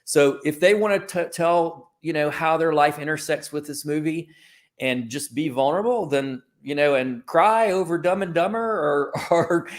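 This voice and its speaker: A man's voice: fairly high pitch, normal speed. A man speaking as an interview guest, giving informal, discursive answers and often rephrasing himself as he goes. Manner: informal, discursive, self-correcting. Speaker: guest, creative company founder.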